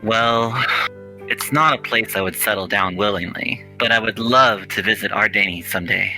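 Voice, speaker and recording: A man as gentle middle-aged bookish shopkeeper, visual novel character; lowish, very crisp forward tone, courteous, over music. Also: low-quality recording. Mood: courteous, curious. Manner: courteous, measured, wistful. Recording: music behind the voice.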